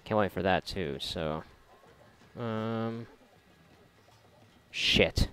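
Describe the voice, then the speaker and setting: A man's voice: lowish voice, extremely slow, fairly bright tone. A man giving casual, sarcastic, profanity-laced gameplay commentary, often muttering to himself. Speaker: gamer doing a playthrough.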